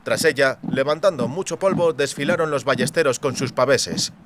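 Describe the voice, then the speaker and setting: A man's voice: medium pitch, normal speed, background noise. A man reading a scripted fantasy novel as an audiobook narrator.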